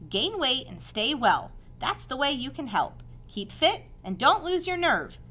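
A woman voicing a calm, wise female spider in a storybook, speaking in a brisk, precise, gently instructive and protective way. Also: high voice, voice pushed up, exaggerated voice. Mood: firm, motherly.

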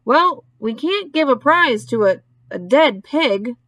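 A woman's voice: high voice, animated, slow pace, a bit bright, no room sound, voice pushed up, exaggerated voice. A woman reading a storybook, voicing a pompous, formal male fairground announcer with a grand, ceremonious delivery. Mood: puzzled, concerned.

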